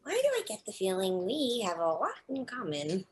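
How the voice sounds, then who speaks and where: An actress voicing an eager, earnest, nerdy teenage girl in a cartoon, with an excitable manner. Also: mid-range voice, normal speed, slight background noise, natural voice. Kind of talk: animated cartoon table read.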